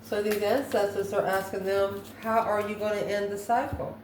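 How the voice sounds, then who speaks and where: A woman giving an intuitive tarot reading to viewers, musing aloud in short, halting phrases. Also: mid-range voice, steady tone, darkish tone. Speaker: tarot reader, host. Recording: roomy echo, distant mic, background noise.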